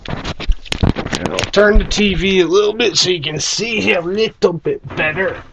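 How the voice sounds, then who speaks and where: A man's voice: sits high, unhurried, creaky. A man rambling casually and bluntly, with some swearing, as he hosts a homemade game hardware demo. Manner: rambling, blunt, foul-mouthed. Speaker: video host. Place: cluttered room at home. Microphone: camera microphone at varying distance. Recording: noisy home camera recording with clicks.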